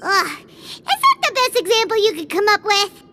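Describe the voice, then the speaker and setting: Brief: a tiny excitable fairy sidekick for a game, very high and lively, third-person talk. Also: female voice. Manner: refers to herself in third person; excitable, quick to exclaim. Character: tiny chirpy female fairy companion. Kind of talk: video game cutscene voice acting. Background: game music soundtrack.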